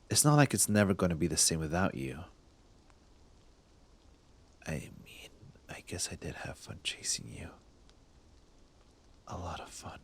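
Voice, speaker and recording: A smug, teasing cop played by a man speaks in a very low voice, addressing the listener up close in an ASMR roleplay. He takes his time, with halting, intimate phrasing that gives away how smitten he is. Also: exaggerated voice. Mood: hushed, confiding. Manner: teasing, intimate, halting, drops into breathy murmurs. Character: smug young male cop, secretly smitten with thief. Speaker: voice actor in audio roleplay.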